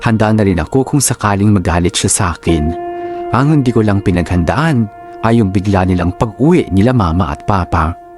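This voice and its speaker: Low-voiced Filipino man narrating over music. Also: animated, moderate pace, darkish tone. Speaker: radio drama narrator and host. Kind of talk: dramatised first-person letter narration.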